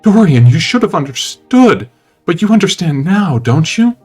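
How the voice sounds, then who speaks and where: Young romantic actress, played by a male narrator, lifted pitch, ecstatic and tender, music underneath. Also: very animated, moderate pace, a little mellow, husky, full character voice. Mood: dreamy joy. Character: rapturous, romantic young actress, breathlessly devoted. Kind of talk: dramatic audiobook character reading.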